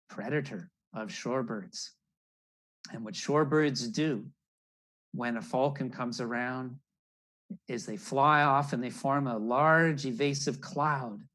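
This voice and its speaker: A man's voice: mid-range voice, a bit bright. An older man reading a children's picture story aloud and explaining it as a naturalist, recorded indoors on a video call. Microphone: video call computer microphone.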